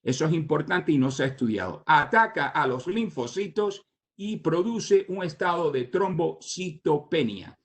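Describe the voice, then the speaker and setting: An older man born in Cuba and raised in the United States, with a Cuban Spanish accent shaped by English, gives a medical lecture as a surgeon, pausing often on "ah" fillers and restarting his sentences. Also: fairly high pitch, lively intonation. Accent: Cuban Spanish with American English influence. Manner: halting, with many "ah" fillers and restarts. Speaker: surgeon giving a conference talk.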